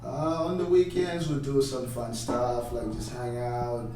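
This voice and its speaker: A man's voice: medium pitch, fairly even intonation, a little slow, darkish tone. A young adult man from Malawi giving casual, conversational answers as an interview guest. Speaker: interview guest, former tennis player. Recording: very echoey room, distant mic, some noise.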